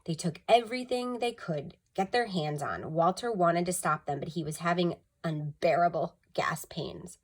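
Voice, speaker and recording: A woman's voice: fairly low pitch, normal speed, hardly any pauses. A woman narrating a children's story expressively, with dramatic emphasis, like a school storytime reader.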